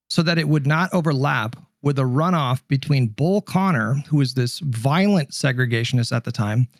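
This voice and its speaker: A man's voice: on the high side, no room sound, clean studio sound. A man in a heated political debate on a livestream, pressing questions in an insistent, indignant manner.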